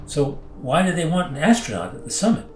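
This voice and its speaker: A man playing an earnest, easily rattled pilot in an audio drama, halting and indignant in his delivery. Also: on the high side, sing-song, darkish tone, echoey room, slight background noise. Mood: confused, insistent. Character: defensive, flustered, earnest male pilot. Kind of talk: naturalistic audio drama dialogue.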